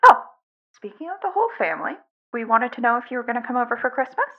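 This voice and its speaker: A woman's voice: a little high, big pitch swings, fast talker. A woman playing a doting, chatty, affectionate mother in a fan fiction audio drama, gushing and rambling. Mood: affectionate, excited. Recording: dull lo-fi recording.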